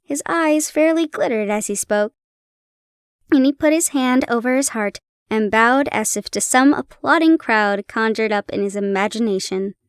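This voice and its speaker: A woman's voice: on the high side, moderate pace, smooth, no echo. Woman reading a story aloud, dry sound. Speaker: audio roleplay narrator.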